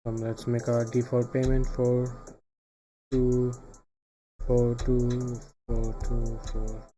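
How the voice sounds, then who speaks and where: A man from Bangladesh, thinking aloud in casual live coding commentary, halting and trailing off into quiet asides. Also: medium pitch, monotone, music in the background. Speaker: live streamer building software.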